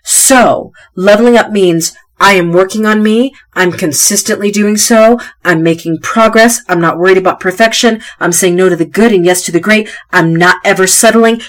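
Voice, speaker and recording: Tired, stuffy-sounding woman podcaster. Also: mid-range voice. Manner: emphatic, repeats key phrases for emphasis. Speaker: podcast host. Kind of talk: motivational solo podcast talk.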